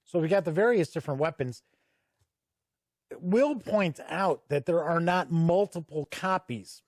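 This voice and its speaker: A man giving a casual product walkthrough as a reviewer, conversational and halting with frequent pauses. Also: on the high side, darkish tone, no room sound, clean studio sound. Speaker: tabletop game reviewer and host.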